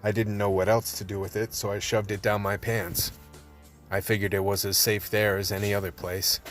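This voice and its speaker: A man's voice: lowish voice, little pitch movement, normal speed, fairly bright tone, distant mic, natural voice. A man playing a tough, gun-toting action hero who narrates his story in a gritty, colloquial radio play style. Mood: weary, grave. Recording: music bed under the voice.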